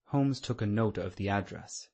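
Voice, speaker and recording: A man's voice: on the low side, normal speed, gravelly, dark tone, slightly rough. A man reading scripted literary narration as the narrator of an audiobook.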